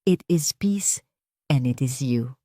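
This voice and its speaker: A woman narrator voicing a tender young heroine in love, soft and earnest, in an audio romance. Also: very deep voice, animated, takes it slowly, no room sound, clean studio sound, pitched below the performer's own voice, full character voice. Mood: tender, moved.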